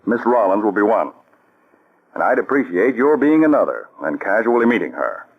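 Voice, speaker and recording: A firm, commanding male spy chief in a vintage radio drama. He speaks earnestly with authority, in a very deep voice. The sound is dull and muffled like an old broadcast. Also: sing-song, normal speed, breathy edge, heavily acted. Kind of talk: 1940s radio acting.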